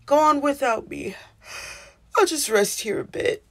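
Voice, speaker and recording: A woman voicing a warm, friendly cartoon sun from a children's storybook, with a welcoming manner. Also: high voice, expressive, a little slow, voice pushed up. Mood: sleepy.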